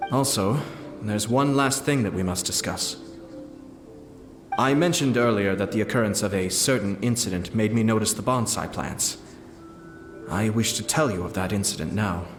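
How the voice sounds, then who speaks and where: An actor playing a sly, composed man who speaks in a measured, formal, faintly amused way. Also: lowish voice, close to an everyday voice. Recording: roomy game voice-over with music.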